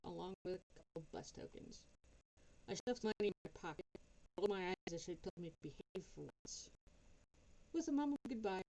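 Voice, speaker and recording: Low-voiced woman narrating over clear background noise. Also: mellow, rough recording. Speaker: author reading aloud. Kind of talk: expressive first-person audiobook-style narration.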